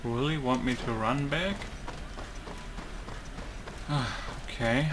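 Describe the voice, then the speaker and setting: Male gaming YouTuber, unhurried and musing, mid-range voice, casual commentary over clearly audible background noise. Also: medium pitch. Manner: musing, thinking aloud. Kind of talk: casual let's play commentary.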